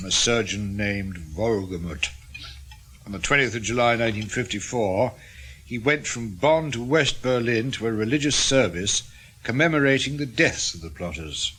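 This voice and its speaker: An actor playing a reflective, wry older British ex-intelligence officer in a radio drama, musing and measured. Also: male voice, lowish voice, husky. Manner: musing, measured, gently teasing. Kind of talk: naturalistic radio drama acting.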